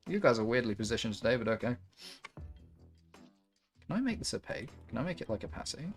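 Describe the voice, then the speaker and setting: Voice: medium pitch, big pitch swings, fast, faint music behind. A young adult livestream host chatting casually and candidly, with frequent swearing.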